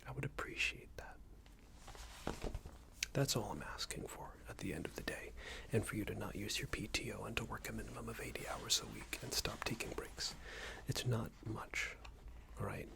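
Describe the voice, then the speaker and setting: A man playing a smug, unreasonable boss in an ASMR roleplay, scolding an employee in a soft breathy whisper with a hesitant, condescending manner. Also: animated, normal speed. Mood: smug, self-pitying. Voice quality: breathy soft whisper with low creaky murmur. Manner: hesitant, condescending, meandering reprimand.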